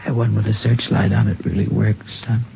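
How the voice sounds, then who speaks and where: A heartbroken father in an old radio drama, speaking in a low, gravelly voice. He talks haltingly and plaintively, with a quiet determination. The recording is muffled, like an aged broadcast. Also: little pitch movement, faint music behind. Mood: grief-stricken pleading. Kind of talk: 1950s radio drama acting.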